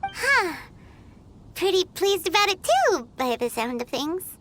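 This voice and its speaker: A woman voicing a tiny childlike fairy companion in a video game, blunt and bossy, with a squeaky voice. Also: very animated, slow, a bit bright, a little hiss or hum, full character voice. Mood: cheeky, scolding. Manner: bossy, teasing, exclamatory. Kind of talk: video game character acting. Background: background music.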